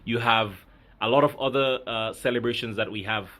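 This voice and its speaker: A man in his thirties from Grenada, talking reflectively to his viewers in a halting, casual way with many fillers. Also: mid-range voice, a bit bright. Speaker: vlogger. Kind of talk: casual talk to camera.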